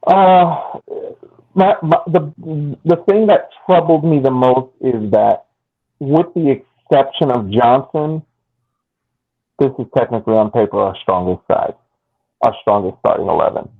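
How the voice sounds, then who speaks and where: A man co-hosting a fan podcast, talking in a halting way, repeating words as he restarts. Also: medium pitch, vocal fry. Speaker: podcast co-host. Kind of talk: casual sports podcast chat. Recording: tinny phone-like line.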